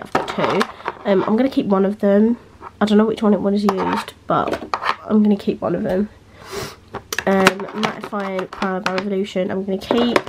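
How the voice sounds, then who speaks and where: A young woman chats through her makeup drawers in her bedroom, rambling and filler-heavy, with a slightly nasal voice from a recent illness. Her voice sits at a middle pitch. The handheld camera recording carries a clear layer of background noise. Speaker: beauty vlogger. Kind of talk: casual chat. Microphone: handheld camera, freehand.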